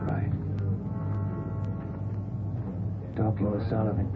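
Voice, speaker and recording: A man's voice: fairly low pitch, completely flat delivery, fast, creaky. A man playing a weary, grumbling police detective sidekick in an old-time radio drama. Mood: watchful. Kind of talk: 1940s-50s radio acting. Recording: muffled old radio, loud background music.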